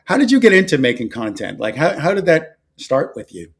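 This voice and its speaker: A middle-aged American man hosting a casual podcast interview, agreeable and rambling, in halting phrases. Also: on the high side, big pitch swings. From Philadelphia area, US. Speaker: podcast host.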